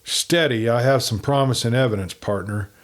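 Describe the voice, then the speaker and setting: A man reading aloud takes on a tough western lawman from a storybook. He is shrewd and unshaken, and gives orders bluntly in a mid-pitched voice. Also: normal speed, lightly acted. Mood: hard, steady. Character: shrewd, hard, steady male lawman in a western.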